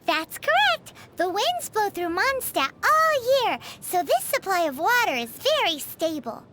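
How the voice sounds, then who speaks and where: A woman voicing a tiny, perky, childlike fairy companion in a video game, with a squeaky voice. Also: sing-song, measured pace, bright, forceful tone, a little hiss or hum, heavily acted. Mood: chirpy, explaining. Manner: perky, refers to self in third person. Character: tiny childlike female floating fairy companion, chirpy and food-loving. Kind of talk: scripted video game dialogue.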